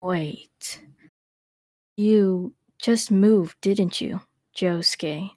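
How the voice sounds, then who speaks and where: A woman's voice: mid-range voice, mellow, no room sound, clean studio sound. A woman voicing a coy, suspicious young woman who probes and teases in a fan dub of a comic. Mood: insinuating. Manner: slow, insinuating, teasing.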